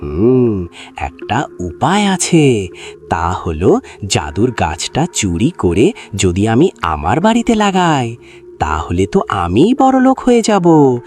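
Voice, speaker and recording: A man's voice: mid-range voice, huge pitch swings, takes it slowly, a little mellow, soft background music. An actor playing an envious, scheming village man in a cartoon, exclaiming and muttering to himself. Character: envious, scheming male villager plotting a theft. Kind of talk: animated cartoon story acting.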